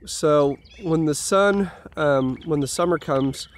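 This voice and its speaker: A man's voice: on the high side, slow, a little hiss or hum. Homeowner vlogger, male, stuffy cold, easygoing rambling tour, outdoors with faint country ambience. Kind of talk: casual site walkthrough. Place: outdoors at a house build site.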